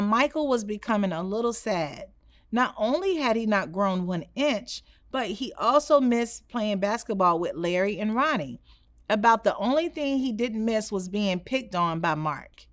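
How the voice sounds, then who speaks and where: A woman's voice: medium pitch, dry recording. Woman reading a children's story, close dry sound. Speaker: storybook reader. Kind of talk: expressive children's read-aloud.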